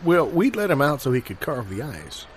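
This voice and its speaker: A man playing a circus showman who tells absurd tall tales in a deliberate, deadpan way, as improvised comedy. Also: medium pitch, a little hiss or hum, natural voice. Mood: deadpan storytelling.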